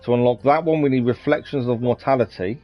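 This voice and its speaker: Casual male streamer, mid-pitched, musing his way through gameplay on a dull microphone. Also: quick pace. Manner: musing aloud, hesitant. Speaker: game live streamer. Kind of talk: casual live gameplay commentary. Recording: dull lo-fi mic with game music. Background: game music.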